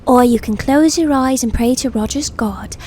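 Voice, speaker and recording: Sardonic female apparition, played by a woman narrator, goading and mocking, higher pitch, audiobook drama. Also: normal speed, a little mellow, a little hiss or hum, close to an everyday voice. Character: taunting, mocking female hallucination of a companion.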